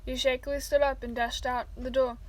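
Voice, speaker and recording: A woman with a high voice reads fan fiction aloud at home and drifts into rambling chat full of fillers. She talks while eating. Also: little pitch movement, fairly quick, background noise.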